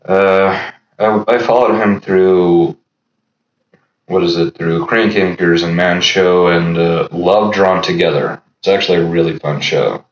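A man in his thirties from Los Angeles, a podcast guest chatting casually and haltingly with many fillers. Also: low-pitched. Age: 30s. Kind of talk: casual chat. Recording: roomy, dull lo-fi sound.

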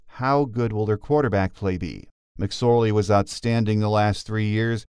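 Low-voiced American sports podcast host, a man. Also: a little mellow, clean tone, no room sound, clean studio sound. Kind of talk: scripted podcast narration and interviewing.

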